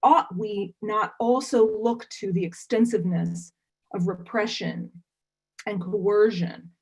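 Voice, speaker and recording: A woman scholar giving academic commentary on a panel, earnest and searching, with frequent hesitant pauses. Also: medium pitch, a little mellow, breathy edge.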